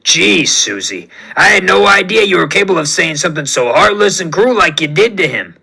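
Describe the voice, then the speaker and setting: A man voicing a gruff, brash rock-skinned brawler who talks in a folksy way. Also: sits high, sing-song, a little fast, sharp tone, full character voice, low-quality recording. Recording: music playing underneath.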